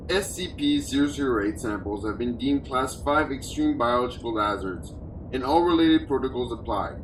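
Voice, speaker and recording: A man playing a research doctor reading out a classified audio log in a formal, measured, clinical way. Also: close to an everyday voice. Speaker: narrator of a fictional audio log. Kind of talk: scripted narration, formal document reading. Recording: roomy, distant mic, noisy with low rumble.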